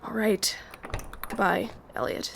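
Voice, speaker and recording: Casting: young woman played by a female actor, medium-pitched, guarded night guard in an audio drama, hesitant yet firm. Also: fairly even intonation, takes it slowly, creaky, fairly bright tone, a little hiss or hum, heavily acted. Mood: stern. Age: young adult.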